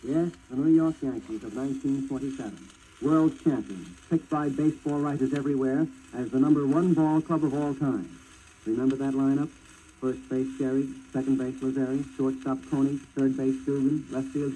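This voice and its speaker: Very dark-toned American radio announcer, 1940s. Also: male voice, mid-range voice, fairly even intonation, moderate pace. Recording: muffled, noisy 1940s radio disc.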